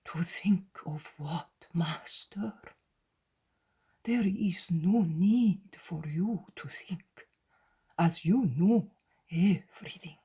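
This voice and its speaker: A woman's voice: on the low side, extremely slow, warm tone, slightly rough, full character voice. A woman reading the lines of a devoted male disciple, pleading in a whisper. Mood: anxious.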